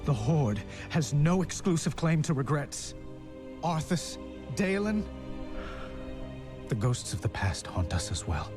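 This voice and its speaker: A man's voice: fairly high pitch, sing-song, takes it slowly, long pauses. An actor playing an earnest, solemn young human king in a dramatic game cinematic. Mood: grave, earnest. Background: cinematic music.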